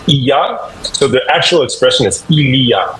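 A man's voice: medium pitch, expressive, a little fast, a bit bright, rough recording. A man working as a French teacher, explaining things conversationally in an encouraging, instructive way, with noticeable background noise. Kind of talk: conversational language lesson.

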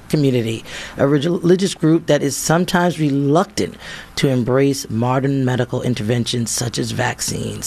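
Raspy, hoarse radio host man. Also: fairly high pitch. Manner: animated, laughs often. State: a little hoarse. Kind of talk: morning talk radio, reading news stories. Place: radio studio.

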